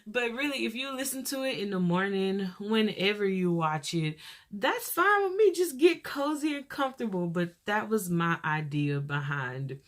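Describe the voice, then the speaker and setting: A young woman hosting her own podcast with a soft voice, talking casually and earnestly, rambling and pausing as she goes. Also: mid-range voice, expressive. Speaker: podcast host.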